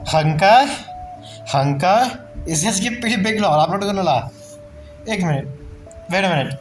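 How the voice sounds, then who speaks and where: A man's voice: sits high, lively intonation, moderate pace, faint music behind. A man giving casual, informal live reaction commentary on a game, musing and asking questions as he goes. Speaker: gaming streamer.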